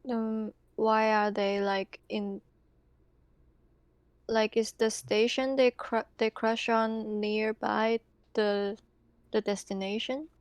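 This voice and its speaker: A woman playing a tabletop game, chatting casually in a halting, questioning way. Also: a little high, little pitch movement, smooth, no room sound.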